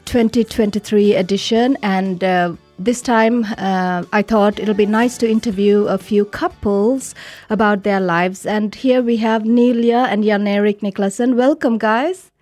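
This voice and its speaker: A woman's voice: mid-range voice, a little slow, darkish tone, faint music behind. A woman from Sri Lanka hosting a studio interview, talking casually with fillers. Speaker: host. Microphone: studio mic.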